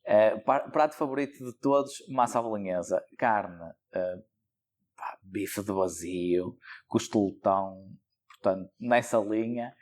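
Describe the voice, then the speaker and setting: A man's voice: on the low side, a little slow, darkish tone. A young man with a European Portuguese accent, a local election candidate answering interview questions in a thoughtful, hesitant way with many fillers. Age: young adult.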